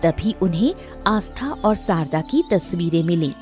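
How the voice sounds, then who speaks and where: A woman narrates a scripted story at an unhurried pace. Her medium-pitched voice moves with animated intonation, and music plays behind it.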